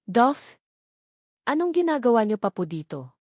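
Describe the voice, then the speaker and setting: A woman voicing a polite, calm young male security guard in a narrated audio drama, speaking softly and respectfully. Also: on the high side, a little slow, no echo, studio quality. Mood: gentle.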